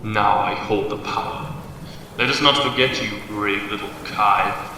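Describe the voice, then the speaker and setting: An actor playing an ancient, menacing devil bound to a bridge, making pompous, theatrical declarations in an audio drama through heavy reverb. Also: male voice, fairly low pitch, a little slow, pushed and bright. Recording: distant, cavernous echo, noisy ambience.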